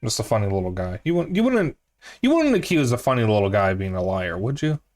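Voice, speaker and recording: A man's voice: lowish voice, big pitch swings, fast talker, a little mellow, faint music behind. A man with a somewhat nasal voice, casually commentating a game stream and bantering jokingly with chat in playful mock denials.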